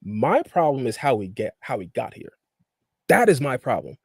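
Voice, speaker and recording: Exasperated man with very animated pitch. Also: moderate pace, creaky, slightly rough, dry recording, studio quality. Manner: exasperated, objecting, repeating himself for emphasis. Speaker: podcast panelist. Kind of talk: casual fan debate about anime.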